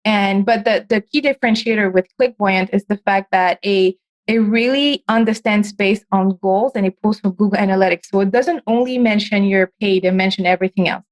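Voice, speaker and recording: A woman hosting a podcast, chatting casually with a guest, animated and quick to laugh, often jumping up in pitch. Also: poor audio. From United States. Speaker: podcast host. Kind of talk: casual interview chat.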